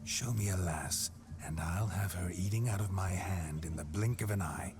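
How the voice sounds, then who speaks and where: An actor playing a gruff, flirtatious man possessed by a noble ghost, with a deep voice that drops near a whisper. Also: natural voice. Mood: flirting. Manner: slow, wry, seductive drawl. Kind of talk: video game cutscene voice acting. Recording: game soundtrack music behind.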